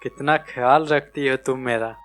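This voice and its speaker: A man's voice: medium pitch, fairly even intonation, moderate pace, a bit bright, soft background music, close to an everyday voice. Weary, affectionate family man played by an actor. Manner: weary, tender. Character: tired, affectionate, burdened father and husband.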